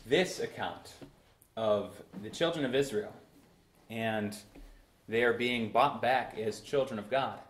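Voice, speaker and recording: A young man in his twenties preaching a sermon from a church pulpit, with bursts of emphasis and slow, drawn-out phrases. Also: lowish voice. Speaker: pastor. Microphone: far camera in a roomy church. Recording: echoey church room.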